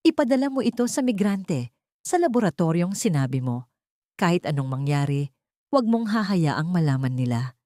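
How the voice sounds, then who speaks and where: A woman with a Filipino accent voicing a secretive, determined young housemaid in an audio story, hushed and urgent. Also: fairly low pitch, big pitch swings, moderate pace, no room sound, clean studio sound. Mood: urgent pleading.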